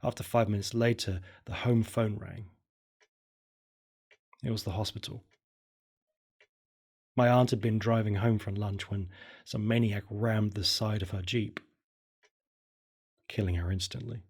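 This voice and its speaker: A man's voice: on the low side, fairly even intonation, measured pace, pauses often, darkish tone, breathy edge. A man telling a first-person horror story in a somber, murmuring way.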